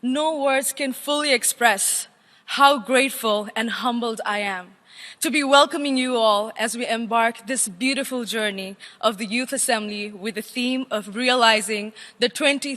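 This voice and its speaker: A young woman gives a formal, earnest prepared speech with emphatic, measured phrasing. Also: fairly high pitch, sharp tone. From Nepal. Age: young adult. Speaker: youth chair giving an opening address. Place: large assembly hall, speaking to delegates. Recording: echoing large hall, long reverb.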